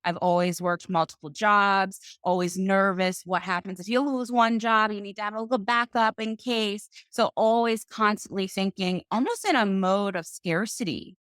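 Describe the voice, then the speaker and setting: A woman, a podcast guest telling her career story in a confident, deliberate way. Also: mid-range voice, fairly even intonation, a bit bright, clean tone, dry recording, clean studio sound. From Boston, US. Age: 30s. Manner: confident, deliberate, slows for emphasis. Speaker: podcast guest, financial coach. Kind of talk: interview storytelling.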